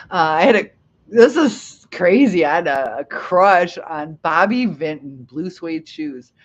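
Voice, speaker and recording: A woman's voice: fairly low pitch, animated, slow. A middle-aged woman from Ohio hosting a casual livestream, rambling and laughing as she reads the chat. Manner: chatty, rambling, laughs often. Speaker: livestream host. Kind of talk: casual live chat, reading comments. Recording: dull lo-fi livestream audio.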